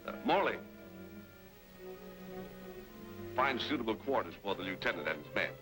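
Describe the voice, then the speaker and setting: A villainous colonel in a vintage radio western. He is commanding and smoothly scheming, his pitch rising and falling dramatically over prominent music. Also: expressive, quick pace. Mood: flustered politeness. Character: ruthless power-hungry colonel, male villain grabbing control. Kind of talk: old-time radio western acting.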